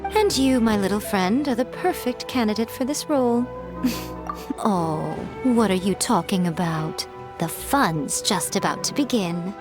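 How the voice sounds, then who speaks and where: A woman plays an elegant fox-spirit shrine maiden in an anime game. Her voice sits at a medium female pitch, silky and composed with a sly, teasing edge, over background music. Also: lively intonation, a little slow, close to an everyday voice. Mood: amused, sly.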